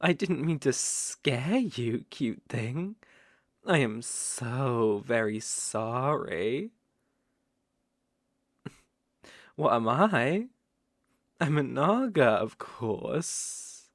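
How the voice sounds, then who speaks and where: Someone voicing a very slow, sly, teasing naga. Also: medium pitch, no echo, clean studio sound, heavily acted. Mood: coaxing, curious, then amused, teasing. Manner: slow, teasing, coaxing drawl with soft chuckles. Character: smooth-talking, sly, predatory naga fond of cute prey. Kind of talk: roleplay ASMR acting.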